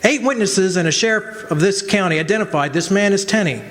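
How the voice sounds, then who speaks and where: Actor as sarcastic domineering prosecutor, slightly high male voice, pointed delivery, radio play, hall reverb. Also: brisk, a bit bright. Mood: sarcastic, stern. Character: stern, sarcastic county attorney. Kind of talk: radio drama acting. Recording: echoey hall stage recording.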